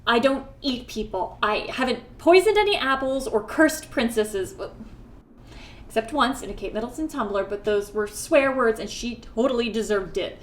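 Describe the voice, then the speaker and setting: An actress playing a no-nonsense Wiccan lawyer, firm, dry and corrective, in a comedic audio drama. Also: female voice, a little high, animated, moderate pace, slight background noise, lightly acted. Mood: exasperated. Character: no-nonsense Wiccan woman lawyer, polite but easily exasperated.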